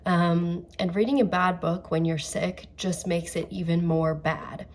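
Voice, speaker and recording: A woman's voice: lowish voice, fairly even intonation, a little slow, a little mellow, a little hiss or hum. A young woman in her twenties with a cold, congested and coughing, chatting emphatically in a casual reading vlog. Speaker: book vlogger.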